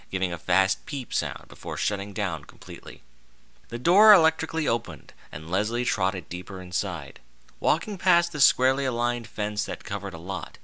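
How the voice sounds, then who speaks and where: A man's voice: lowish voice, sharp tone, dry recording. A man narrating a scripted story in a theatrical, dramatic manner.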